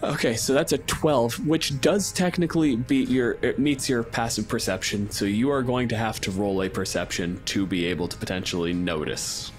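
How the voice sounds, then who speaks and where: A man's voice: mid-range voice, fairly even intonation, normal speed, few pauses, faint music behind. A man narrating a tabletop game as game master in a conversational, descriptive storytelling way.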